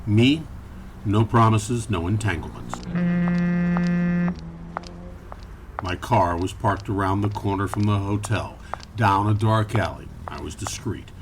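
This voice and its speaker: Low-voiced deadpan noir detective. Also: male voice, normal speed, noisy background. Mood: wry narration. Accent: American. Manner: deadpan, clipped, world-weary. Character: hard-boiled, wry male private eye narrating his case. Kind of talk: campy film noir radio acting. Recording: music behind the voice.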